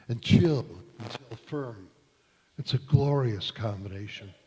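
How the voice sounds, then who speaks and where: A man's voice: fairly high pitch, slightly rough, full character voice. A man playing a hearty, emphatic 1940s radio announcer, selling and narrating in polished, folksy style on stage. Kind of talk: old-time radio ad and narration. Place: large indoor hall, live stage performance. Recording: reverberant church hall stage recording.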